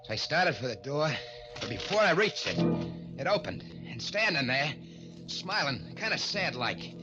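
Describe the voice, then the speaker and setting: A jittery American killer on the run. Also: male voice, a little high, big pitch swings, moderate pace. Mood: haunted. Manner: hesitant, stammering, colloquial. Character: nervy escaped killer on the run, evasive, haunted. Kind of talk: 1940s radio acting. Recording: dull old radio recording with music.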